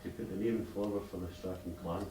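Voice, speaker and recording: A lower-voiced man speaks earnestly from the floor, pausing to find his words. He is heard from a distance. The room echoes, with a faint hiss beneath. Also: fairly even intonation, dark tone. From Scotland. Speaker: clan member speaking from the floor. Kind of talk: unscripted remarks at a meeting.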